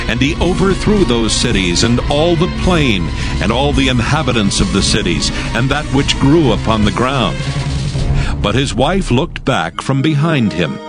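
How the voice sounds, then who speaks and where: Casting: male narrator, mid-pitched, scripted archaic scripture reading, clear music bed underneath.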